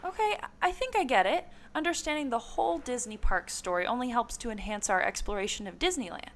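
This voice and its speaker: A woman acting a skeptical but game adventuring companion in a scripted audio play, expressive and questioning. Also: fairly high pitch, a little fast, close to an everyday voice. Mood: surprised.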